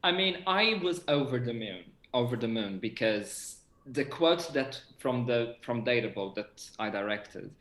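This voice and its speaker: Young male theatre director, average pitch, animated halting talk, roomy echoey video-call sound. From Portugal. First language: Portuguese. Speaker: theatre director being interviewed. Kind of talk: casual interview chat. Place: indoor room on a video call.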